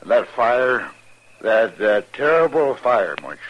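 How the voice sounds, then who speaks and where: A sly, stammering frontier husband. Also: male voice, mid-range voice, sing-song, a bit bright, slight background noise, poor audio. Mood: sly, insistent. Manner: halting, stammering, gloating rustic drawl. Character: sly, spiteful frontier husband, middle-aged man. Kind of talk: 1950s radio drama acting.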